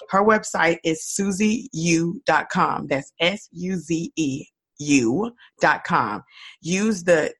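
A woman hosting her own podcast alone, her voice raspy and hoarse from a cold, talking in an upbeat, encouraging way straight to her listeners. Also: low voice. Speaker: podcast host. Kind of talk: solo podcast monologue.

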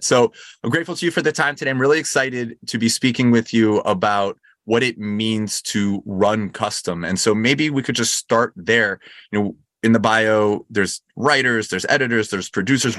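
A man's voice: lowish voice, a bit bright, dry recording, clean studio sound. A man hosting an interview podcast, speaking in a polite, appreciative way as he introduces and questions his guest. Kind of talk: scripted introduction, then conversational interviewing.

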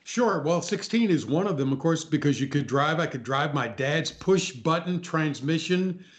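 A man in his sixties with a slightly high voice speaks as a guest in a casual chat. He reminisces conversationally and tends to repeat himself for emphasis. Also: expressive, moderate pace. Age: 60s. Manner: chuckles, repeats phrases for emphasis. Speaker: talk show guest.

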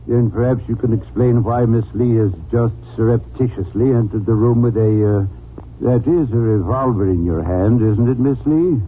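A man's voice: mid-range voice, moderate pace, lightly acted. An imperious genius detective played by a male actor in a 1940s radio mystery. He is formal, precise and utterly unflappable. The old recording is tinny and faintly hissy. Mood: commanding, accusing. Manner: commanding, precise, formal, dismissive. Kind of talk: 1940s radio drama acting.

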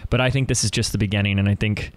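A man's voice: fairly low pitch, steady tone, rapid-fire, no echo. A man hosting an interview podcast. He introduces his guest and asks questions in an enthusiastic, effusive way, often restarting his sentences. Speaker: podcast host.

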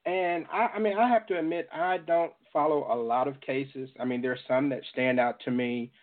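A man's voice: fairly high pitch, steady tone, normal speed. A man from the southern United States hosting an interview in a casual, chatty way. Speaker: interviewer, show host. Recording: band-limited, muffled call audio.

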